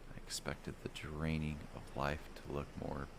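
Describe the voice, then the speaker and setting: A man narrating a first-person fantasy bedtime story in a measured, dramatic storytelling manner. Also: very deep voice, monotone, vocal fry, background noise.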